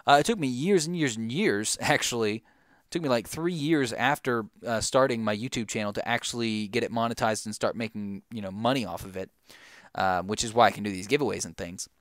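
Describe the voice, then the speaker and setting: A young man chats through viewer questions in a loose, rambling way, stopping and restarting as he goes. His voice is smooth and clear at a middling pitch. The recording is dry and close. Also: a little fast, fairly bright tone, no room sound. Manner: rambling, many fillers and restarts. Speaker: gaming YouTuber answering viewer questions. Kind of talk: casual Q&A chat.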